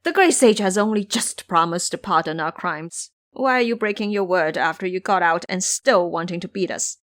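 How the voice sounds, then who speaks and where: A woman's voice: fairly low pitch, normal speed, fairly bright tone, no echo, clean studio sound, close to an everyday voice. A woman voicing a timid, humble minor earth god with a flustered, pleading manner in an audio drama.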